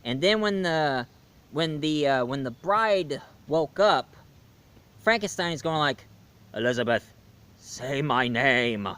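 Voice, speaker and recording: A man reviewing a film in a casual, animated way, retelling the plot and acting out scenes as he goes. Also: on the high side, takes it slowly, clean tone. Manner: animated storyteller, acts out scenes.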